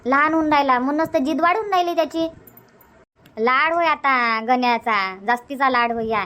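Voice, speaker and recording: A woman's voice: high-pitched, normal speed, pushed and bright. A woman voicing an older, indulgent but bossy village grandmother in a cartoon comedy, repetitive, with a Varhadi Marathi accent.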